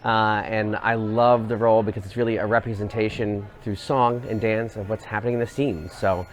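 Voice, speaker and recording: A quick, fairly low-voiced American actor you'd hear chatting in a theatre's cast feature. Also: male voice, steady tone. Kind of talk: cast interview.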